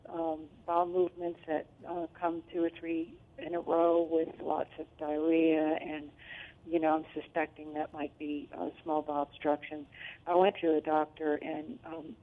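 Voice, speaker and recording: A woman's voice: low-pitched, fairly even intonation, moderate pace. A woman calling in to a health show, asking her question hesitantly with many fillers. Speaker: caller. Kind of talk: call-in question. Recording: phone line.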